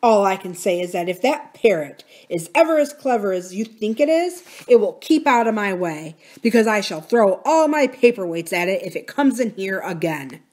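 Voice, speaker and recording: A woman's voice: fairly low pitch, big pitch swings, slow pace, hardly any pauses, fairly bright tone, husky, full character voice. Storybook uncle, older, irritable and easily outraged, blustering delivery from a female narrator. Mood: grim. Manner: grumpy, blustering. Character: irritable gray-haired absent-minded uncle, easily outraged.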